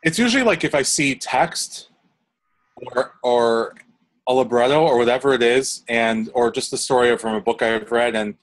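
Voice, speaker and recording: A man's voice: mid-range voice, normal speed, rough recording. A young man, a composer, answering interview questions in casual talk, rambling with many fillers and restarts.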